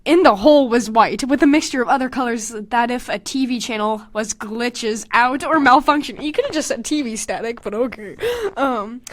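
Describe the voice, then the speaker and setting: High-voiced young woman, giggly, sweary podcast host. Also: normal speed, no room sound. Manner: animated, sweary, self-mocking, giggly. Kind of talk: casual comedic commentary while reading own old fanfiction aloud.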